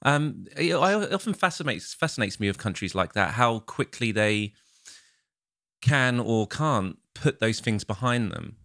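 A man's voice: medium pitch, animated, unhurried, pushed and bright, no room sound, clean studio sound. A man co-hosting a casual interview, asking curious, musing questions.

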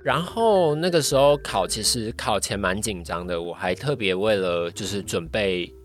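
A man's voice: fairly low pitch, animated, moderate pace, faint music behind. A young man with a Taiwanese Mandarin accent chatting casually as a podcast guest, recounting experiences a little hesitantly with repeated phrases. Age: young adult.

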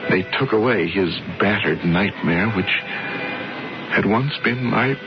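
An actor playing a lovesick, excitable sculptor in a 1970s radio drama, stammering and pleading as he talks. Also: lowish voice, unhurried, background noise, lightly acted. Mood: dejected, recounting. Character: Frank, lovesick younger brother, anguished excitable sculptor. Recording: dull 1970s radio broadcast, music behind.

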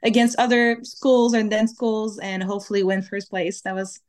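A woman's voice: mid-range voice, monotone, moderate pace, a little mellow. A young woman from Quebec whose first language is French, speaking English with a Quebec French accent as an interview guest, chatting casually with lots of "like" and "kinda". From Quebec, Canada. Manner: chatty, many "like" and "kinda" fillers. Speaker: guest, dancer.

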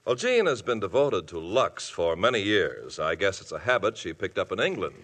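A man announcing a 1950s radio show, smooth and salesy. His pitch swings widely as he talks. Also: normal speed. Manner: smooth, lively announcer delivery.

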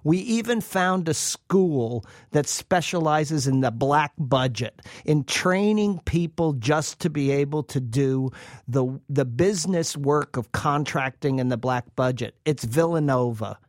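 A man's voice: a little high, a little slow, no echo, clean studio sound. A man speaking as an expert interview guest, explaining in an emphatic way and repeating phrases for weight. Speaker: interview guest, researcher presenting a study. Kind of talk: interview commentary.

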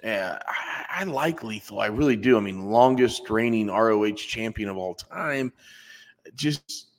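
A man's voice: on the low side, expressive, a little slow, in a large hall. A man co-hosting a wrestling review podcast in a casual, joking way. He makes folksy asides and often stops and restarts his sentences. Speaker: podcast co-host.

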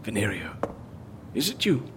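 A man's voice: fairly low pitch, wildly swooping pitch, moderate pace, background noise. A man playing a curious, eager and politely insistent visitor to Venice in a naturalistic audio drama. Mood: surprised, eager.

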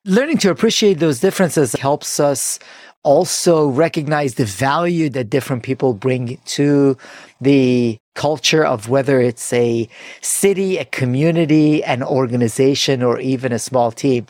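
A man's voice: mid-range voice, measured pace, darkish tone, no room sound, studio quality. A man hosting a podcast interview, giving enthusiastic, polished introductions and asking questions. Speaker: podcast host.

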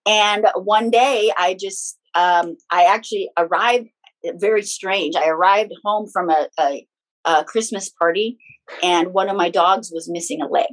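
Woman, middle-aged, chatty guest, frank storyteller, medium pitch. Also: measured pace, poor audio. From Philippines. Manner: chatty, frank, personal anecdotes with small laughs. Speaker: guest, spiritual teacher and author. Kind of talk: casual conversational storytelling.

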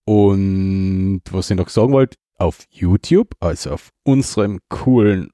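Mellow, lowish Austrian male podcast host. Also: measured pace, no room sound, studio quality. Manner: jokey, self-mocking. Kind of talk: casual, rambling chat.

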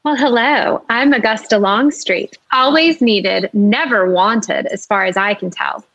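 A woman's voice: medium pitch, unhurried, fairly bright tone, no echo, low-quality recording. A woman playing a wistful, genteel maiden aunt in an amateur dramatic reading. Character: wistful unwanted maiden aunt, bereaved of her fiance.

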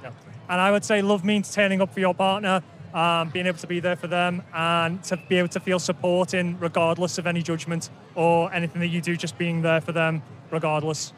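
Flat-voiced man. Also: high voice, fairly quick, a little hiss or hum. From Liverpool. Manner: hesitant, many fillers. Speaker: podcast guest. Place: outdoor street festival.

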